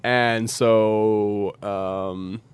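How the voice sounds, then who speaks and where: A man's voice: lowish voice, steady tone, drawn-out words, clean tone. Young man, wry casual storyteller. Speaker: podcast guest, segment creator.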